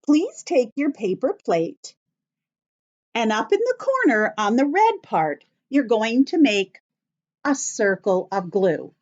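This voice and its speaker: Children's story-time teacher, female. Lively, high-pitched, slow step-by-step delivery with encouraging questions. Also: dull audio. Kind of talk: instructional talk to young children.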